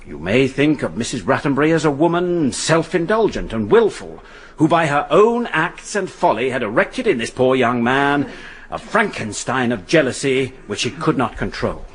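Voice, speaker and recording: An actor playing a grave defence barrister addressing a jury in a radio drama, with solemn, emphatic rhetoric. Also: male voice, mid-range voice, big pitch swings, moderate pace, husky. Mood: solemn, impassioned. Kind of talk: courtroom oratory in radio drama.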